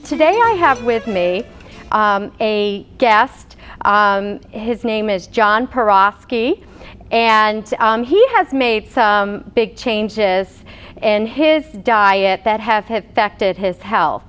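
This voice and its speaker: A woman's voice: medium pitch, takes it slowly, a bit bright, clear, resonant tone. New woman, dietitian host, friendly questions, faint music behind. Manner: friendly, filler-heavy questioning. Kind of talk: interview hosting.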